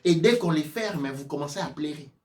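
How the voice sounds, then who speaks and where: Higher-voiced man preaching, off-mic. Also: lively intonation, moderate pace, reverberant, recorded from a distance. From sub-Saharan Africa. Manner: emphatic, repetitive, rhetorical questions. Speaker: spiritual teacher and preacher. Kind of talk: impassioned lecture-sermon.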